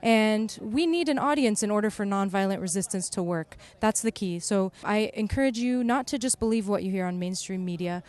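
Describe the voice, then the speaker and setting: A woman's voice: mid-range voice, a little mellow. Articulate woman speaking for a cause. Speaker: vigil organizer and spokesperson. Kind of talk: earnest spoken interview statement.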